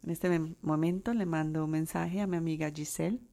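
A Colombian woman in her forties hosting her own podcast, talking unscripted with pauses and restarts. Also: low-pitched, steady tone, creaky, warm tone. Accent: Colombian Spanish. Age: 40s. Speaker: podcast host. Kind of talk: solo podcast monologue.

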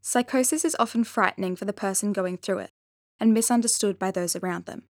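A woman reading scripted, explanatory narration in a measured, clearly phrased way. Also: mid-range voice, dry recording, studio quality.

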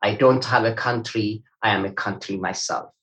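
Ethiopian-accented man, measured and halting. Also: fairly low pitch, fairly bright tone. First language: Amharic. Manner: halting, with frequent "uh" fillers when answering; slow, measured recitation. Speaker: poet and academic guest. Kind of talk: poetry reading then interview answers.

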